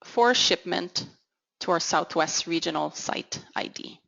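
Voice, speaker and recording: Low, creaky-voiced woman presenting a demo. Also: unhurried, fairly bright tone. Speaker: technical consultant presenting a software demo. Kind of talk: instructional software walkthrough narration. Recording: dull, lo-fi audio. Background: voice-over for a screen recording.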